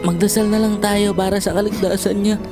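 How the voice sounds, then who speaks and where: A woman plays a repentant youngest child in a Filipino family drama. The medium-pitched voice is earnest and affectionate, with clear music underneath. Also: little pitch movement, fairly quick, lightly acted. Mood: repentant, loving. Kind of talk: melodramatic drama acting.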